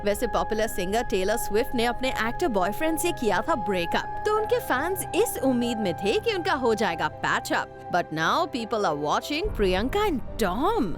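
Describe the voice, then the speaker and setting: चंचल, उतार-चढ़ाव भरी आवाज़ में महिला समाचार वाचिका. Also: female voice, on the high side, big pitch swings, measured pace, hardly any pauses, music in the background. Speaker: news reporter. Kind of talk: scripted entertainment news voice-over.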